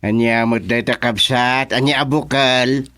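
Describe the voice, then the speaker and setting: A performer playing a village chairman who placates quarrelling neighbours with hemming, drawn-out replies in a comedic radio drama. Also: mid-range voice, fairly even intonation, a bit bright, smooth, no room sound, close to an everyday voice. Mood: patient, probing.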